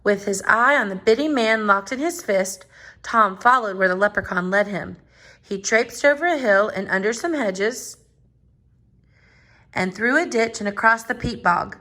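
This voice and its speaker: A woman reading a children's storybook aloud in expressive narration. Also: mid-range voice, a little slow. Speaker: storybook reader.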